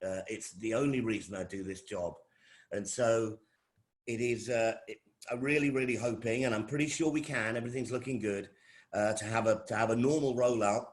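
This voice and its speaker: A man's voice: lowish voice, fairly even intonation, moderate pace. A middle-aged man with an English accent giving an informal welcome talk as a college lecturer, often repeating phrases and restarting his sentences. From England.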